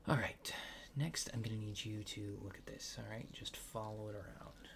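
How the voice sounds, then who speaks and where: Man, ASMR roleplay, soft murmur, near-whisper, gravelly fry, hesitant instructions, noisy recording. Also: on the low side, steady tone, moderate pace. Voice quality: soft, hushed, breathy near-whisper at times. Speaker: ASMR performer playing an examiner. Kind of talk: ASMR roleplay of a medical exam.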